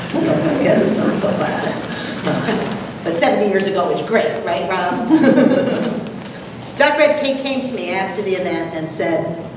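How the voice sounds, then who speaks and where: A mature woman giving a prepared talk and dramatic poetry recital with deliberate, theatrical delivery. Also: lowish voice. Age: middle-aged or older. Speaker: presenter, dramatic reader. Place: lecture hall, at a podium. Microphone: far camera. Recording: echoey noisy hall from far off.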